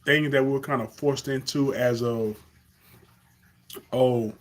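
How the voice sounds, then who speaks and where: A man's voice: medium pitch, fairly even intonation, slow. A young man from Carolina with a Southern accent, talking earnestly off the cuff in a live stream monologue, with fillers and restarts.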